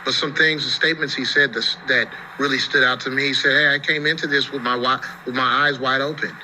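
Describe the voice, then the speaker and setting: A man with an American accent and a very bright, sharp voice at a middle pitch gives legal commentary. He explains in a conversational, rhetorical way. Some noise sits behind the voice. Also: steady tone, a little fast, low-quality recording. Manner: explanatory, rhetorical questions, frequent "you know". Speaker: attorney, TV legal analyst.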